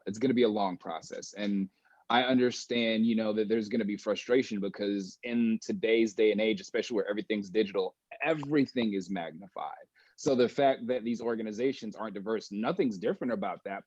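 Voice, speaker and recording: A young man with a lowish voice talks candidly and with energy, calling things as he sees them as a guest in an interview. The sound is dry and dull, like a lo-fi call. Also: darkish tone, dry recording. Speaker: guest, esports industry professional. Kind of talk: conversational interview answers.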